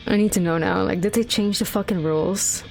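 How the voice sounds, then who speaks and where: A woman's voice: medium pitch, brisk, soft background music, low-quality recording. Swearing woman streamer. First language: Dutch. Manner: rambling, swearing, exasperated. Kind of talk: casual gameplay chat.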